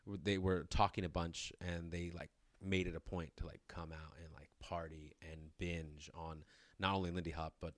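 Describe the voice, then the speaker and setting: Low-voiced man in his thirties, rambling. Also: flat delivery, normal speed, no echo. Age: 30s. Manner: rambling, self-interrupting, laughs often. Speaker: podcast co-host. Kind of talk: casual chat.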